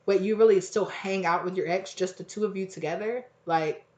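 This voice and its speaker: A woman giving a casual tarot reading, hoarse and close to losing her voice, rambling in slang and acting out little quoted exchanges. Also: medium pitch, fairly quick, darkish tone. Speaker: tarot reader. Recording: echoey, dull lo-fi room recording.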